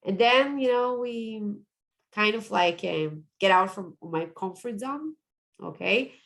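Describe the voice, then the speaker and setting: A woman, a Hebrew speaker, presents on forming habits in a coaching webinar. She talks earnestly, repeats words and checks in with her listeners as she goes, in a voice of middling pitch. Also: animated, takes it slowly, gravelly, fairly bright tone. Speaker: positive psychology coach.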